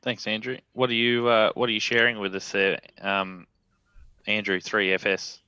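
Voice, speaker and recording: Host guy, low voice but bright and forceful, kinda muffled lo-fi audio, dry. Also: monotone, normal speed, clear, resonant tone, no echo. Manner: brief, easygoing, laughs readily. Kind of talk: hosting a ham radio video call.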